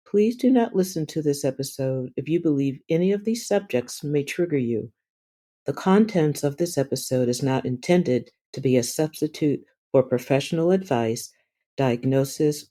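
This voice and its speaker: A woman hosting a podcast, speaking in an earnest, reflective and gently enthusiastic way. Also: sits low. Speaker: podcast host. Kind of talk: conversational podcast interview.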